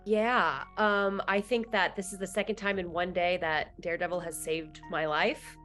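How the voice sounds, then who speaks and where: A woman's voice: on the low side, fairly even intonation, moderate pace, fairly bright tone, soft background music. A woman playing a tabletop game, casually talking through what a character feels.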